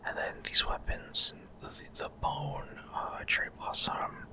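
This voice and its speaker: Whispering man, soft ASMR gameplay commentary. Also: slow pace. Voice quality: breathy, unvoiced whisper. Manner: hesitant, rambling explanation in short phrases. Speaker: gaming video creator.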